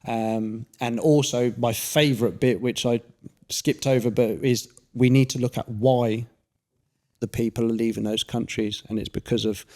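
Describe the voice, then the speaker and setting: A man's voice: mid-range voice, fairly even intonation, moderate pace, a little mellow. A man hosting a casual interview who talks in a rambling, chatty way. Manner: rambling, chatty, many fillers and restarts. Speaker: podcast host.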